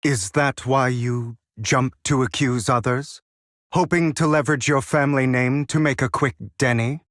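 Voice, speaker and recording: An actor playing a suave, sly gentleman in a video game, courteous and teasing, with elegant, theatrical delivery and drawn-out words. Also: mid-range voice, fairly even intonation, no echo, clean studio sound, natural voice. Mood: cool, cutting.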